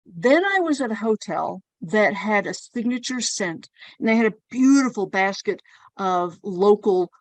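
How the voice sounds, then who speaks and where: A woman hosting a relaxed talk show, chatting through personal stories. Her voice sits at an ordinary middle pitch. The sound is close and dry, with little room echo. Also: measured pace. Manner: chatty storyteller, personal anecdotes. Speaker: podcast host. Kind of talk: casual conversation.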